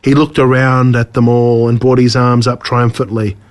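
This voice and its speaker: A man with an Australian accent reading his own story aloud as a scripted narration, measured and dramatic. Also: medium pitch, level tone.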